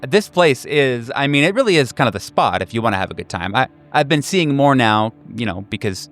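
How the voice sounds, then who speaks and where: Man playing an effusive, stammering middle-aged friend, lifted pitch. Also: very animated, fairly quick, fairly bright tone, faint music behind. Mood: eager, nervous.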